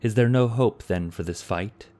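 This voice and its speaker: A man's voice: lowish voice, moderate pace, warm tone, voice pushed up, natural voice. A man voicing an earnest, uncertain young woman who speaks in a questioning way.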